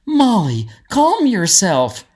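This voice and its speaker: A man voicing a firm mother bird who calms and cautions in a measured way, in a storybook reading. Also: high voice, pitched above the performer's own voice, full character voice. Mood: admonishing. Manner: measured admonishing.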